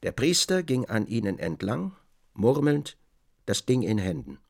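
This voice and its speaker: An actor playing a measured literary narrator, delivering descriptive scripted narration in a radio drama. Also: male voice, fairly low pitch, very animated, gravelly, full character voice. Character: measured male literary narrator observing closely.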